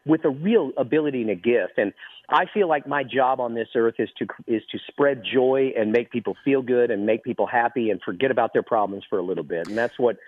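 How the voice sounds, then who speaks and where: Middle-aged male ventriloquist guest, naturally high voice, eager storyteller, phone-line sound. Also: no room sound. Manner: eager, quick storytelling with many restarts. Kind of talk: phone interview. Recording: tinny phone line, dry.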